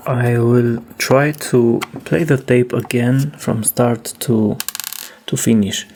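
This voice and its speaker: A man narrating a hands-on repair in a casual, halting way, thinking aloud as he works. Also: mid-range voice, fairly even intonation, a little mellow. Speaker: host demonstrating a cassette repair.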